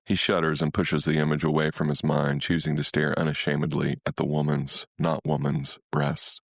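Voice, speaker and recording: Very deep-voiced male story narrator. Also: level tone, normal speed, gravelly, dry recording, studio quality. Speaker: narrator reading a short story. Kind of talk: scripted literary narration.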